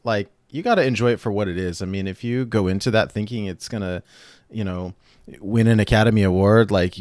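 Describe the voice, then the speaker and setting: Low-voiced laid-back male podcast host. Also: no room sound, clean studio sound. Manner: laid-back, chuckling, lots of "like" and "you know". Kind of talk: casual podcast chat.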